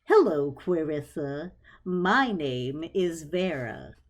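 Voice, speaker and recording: A woman's voice: deep voice, big pitch swings, takes it slowly, pitched below the performer's own voice, heavily acted. A woman voicing a bossy, blunt female aloe plant with a sassy, slangy manner in a storybook. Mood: pointed. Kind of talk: audio storybook character voice.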